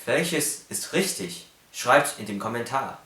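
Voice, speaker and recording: Young guy, cantonese accent, talks slow and careful like he's teaching, echoey room. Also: medium pitch, expressive, bright, forceful tone. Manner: slow, careful, demonstrates sounds precisely. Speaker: YouTuber explaining phonetics. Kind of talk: casual educational vlog.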